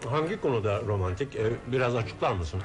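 A shrewd, calm male investigator, voiced by an actor in an old radio drama, his mid-pitched voice rising and falling a lot as he keeps probing. Music plays under the broadcast. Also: fairly quick, lightly acted. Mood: questioning. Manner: inquisitive, steady questioning. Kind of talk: 1970s Turkish radio drama acting.

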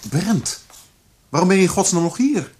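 An actor playing an anxious, reproachful older brother in a radio drama. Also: male voice, high-pitched, animated, normal speed, darkish tone, slight background noise. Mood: agitated, pleading. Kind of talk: Dutch radio drama acting.

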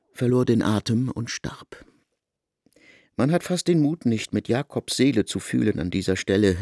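A man reading scripted literary narration in a grave, measured, formal manner. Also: lowish voice, a little mellow, dry recording, studio quality.

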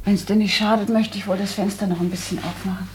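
Woman playing bossy grown daughter in a radio play, practical, unsentimental, medium pitch, matter of fact. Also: fairly even intonation, fast talker, slight background noise, lightly acted. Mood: indulgent. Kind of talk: German literary radio drama acting.

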